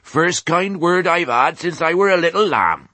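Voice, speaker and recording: A man voicing a mournful, grumbling old ewe with a husky voice and a West Country accent, in a storybook. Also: a little high, expressive, moderate pace, sharp tone, dry recording, pitched above the performer's own voice. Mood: pleased. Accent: English West Country.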